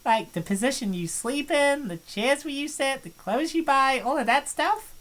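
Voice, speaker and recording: A woman's voice: high voice, lively intonation, moderate pace. A woman voicing an earnest, worried college girl. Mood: concerned. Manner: earnest, gently prying. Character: earnest, worried young female college friend. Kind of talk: fan voice-over of a visual novel.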